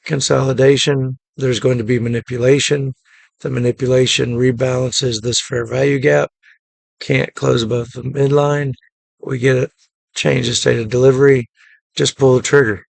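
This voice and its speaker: A man with a deeply gravelly, hoarse voice that has been worn down by a cough. He speaks in a flat, even monotone at a middle pitch and goes through a trade recap in a relaxed, matter-of-fact way. His voice is close and dry, with no room sound around it. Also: normal speed, no room sound. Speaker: day trading channel host. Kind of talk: casual screen-share trade recap.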